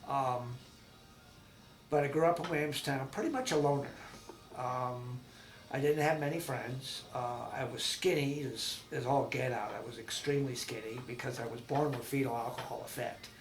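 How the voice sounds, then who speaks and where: A man in his sixties from Massachusetts, recalling his life in a halting, rambling oral-history interview at home. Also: mid-range voice, steady tone, reverberant. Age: 60s. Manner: rambling, halting with many ums and restarts. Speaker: veteran interviewee.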